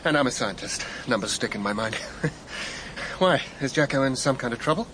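A man's voice: mid-range voice, lively intonation, natural voice. Male actor for conscientious man in his thirties, a recovering scientist, unsure and questioning. Radio drama, noticeable background noise. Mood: puzzled. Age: 30s. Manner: halting, questioning. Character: earnest thirty-eight-year-old geophysicist, a recovering patient, conscientious. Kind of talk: naturalistic radio drama acting.

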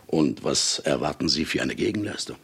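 An actor in a German radio play, playing an urbane, self-satisfied famous writer with an ironic, nonchalant and condescending manner. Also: male voice, extremely low pitch, expressive, moderate pace, exaggerated voice. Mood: cool, probing. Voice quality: gravelly.

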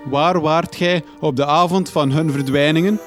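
Man playing a high-pitched, exasperated Flemish reporter. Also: steady tone, normal speed, faint music behind, lightly acted. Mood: accusing. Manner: stammering, protesting. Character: exasperated, indignant, hapless young male reporter. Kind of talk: gothic horror comedy radio play acting.

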